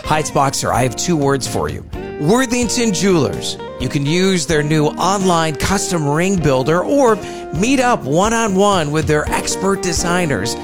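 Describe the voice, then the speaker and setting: A man's voice: on the high side, big pitch swings, measured pace, over music. A man reading a scripted radio advert. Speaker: radio ad announcer. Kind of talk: scripted ad read.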